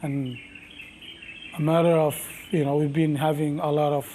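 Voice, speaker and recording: A middle-aged man from Micronesia, whose first language is Micronesian, answering interview questions slowly and thoughtfully, with many pauses and fillers. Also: on the high side, little pitch movement, dark tone, slight background noise. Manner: slow, thoughtful, halting speech with many fillers. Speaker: master navigator and canoe captain.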